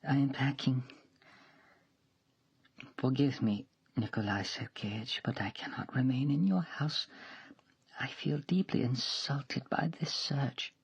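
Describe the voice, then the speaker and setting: A man reading a story aloud, voicing a young female governess who is hurt and proud, in a soft, breathy, whispery voice. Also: medium pitch, slow, pauses often, voice pushed up, heavily acted. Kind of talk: audiobook character voice.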